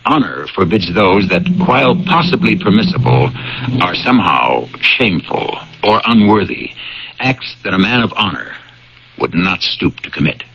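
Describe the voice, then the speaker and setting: Male radio drama host, low pitch, measured formal narration, slightly muffled old broadcast. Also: sing-song, vocal fry, a little hiss or hum. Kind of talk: measured scripted philosophical narration.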